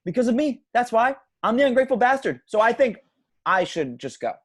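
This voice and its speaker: A man's voice: very high voice, sing-song, a little fast, heavily acted. A man playing an awkward, resentful grown son in an amateur script read. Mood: hurt, defiant.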